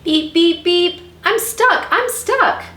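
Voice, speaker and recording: Woman voicing little pickup truck, storybook, friendly, high squeaky pitch, beeping exclamations, roomy sound. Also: expressive, slow, sharp tone, full character voice. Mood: pleading. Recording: roomy echo, faint background noise.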